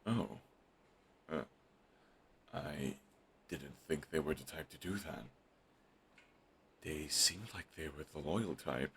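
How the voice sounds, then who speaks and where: A man's voice: very deep voice, slow pace, pauses often, a bit bright, slight background noise, full character voice. A man voicing a grumpy, sarcastic male supervillain who dismisses an old rival in an audio roleplay drama. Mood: subdued. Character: snarky, grumpy male supervillain, middle-aged. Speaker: voice actor.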